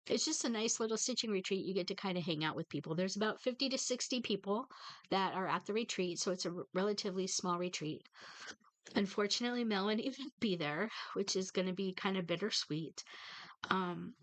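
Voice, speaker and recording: A woman's voice: mid-range voice, a little fast, no room sound, poor audio. A woman vlogger chatting casually about stitching, stuffed up from a head cold and a bit tearful, rambling with fillers and restarts. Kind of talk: casual chat to viewers.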